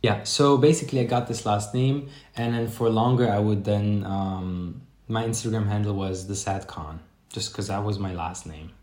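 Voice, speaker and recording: A man's voice: on the low side, fairly even intonation, normal speed, hardly any pauses, darkish tone, roomy sound. A man who grew up in Germany, a podcast guest speaking in a reflective, rambling way with many fillers and restarts. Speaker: podcast guest, life coach. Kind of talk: casual interview chat.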